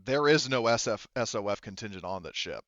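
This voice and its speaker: A man acting as game master, narrating a tabletop roleplaying game in a casual, halting way as he pieces descriptions together. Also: on the low side, animated, a bit bright, no echo, lo-fi sound.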